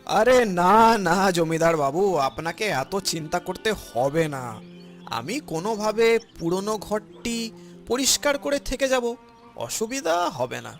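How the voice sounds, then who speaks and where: An elderly village father, acted by a man in a moral cartoon. His voice is high and swings widely as he pleads, honest and gentle yet reproachful. Music plays underneath. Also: big pitch swings, slow, full character voice. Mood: humble, reassuring. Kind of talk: cartoon voice acting.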